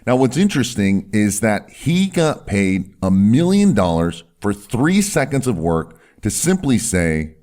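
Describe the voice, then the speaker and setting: A man with a low voice that swings widely in pitch gives an instructional talk as a doctor hosting a health video. He leans on key words, slowing down and sinking his voice for effect. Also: very animated, darkish tone. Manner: emphatic, slows down and drops low on key words.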